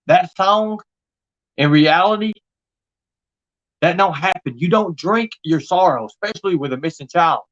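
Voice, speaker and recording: A man's voice: high-pitched, lively intonation, unhurried, long pauses, dull audio. A man livestreaming in an insistent, repetitive, confrontational way. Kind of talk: impassioned monologue to an absent person.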